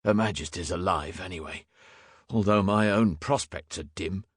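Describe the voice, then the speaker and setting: A man voicing a hot-tempered, commanding Victorian London police inspector in an audiobook, gruff, blunt and imperious. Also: sits low, moderate pace, dry recording, clean studio sound. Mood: wry.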